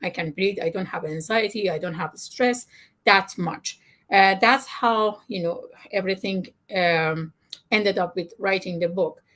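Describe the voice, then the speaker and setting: A woman's voice: mid-range voice, measured pace, fairly bright tone. A middle-aged Persian-accented woman, native in Farsi, as heard when an author opens up in a personal interview. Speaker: guest author. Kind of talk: candid personal conversation.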